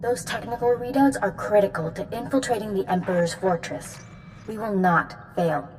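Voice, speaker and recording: An actress playing a grave, resolute Jedi commander in a video game, giving briefings in a serious, measured, authoritative manner. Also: female voice, medium pitch, darkish tone, slight background noise, lightly acted. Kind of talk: video game cutscene acting.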